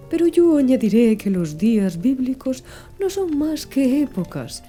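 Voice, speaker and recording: Casting: female literary audiobook narrator, slightly high voice, very warm and relaxed, expressive, measured delivery. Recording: music behind the voice. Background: background music.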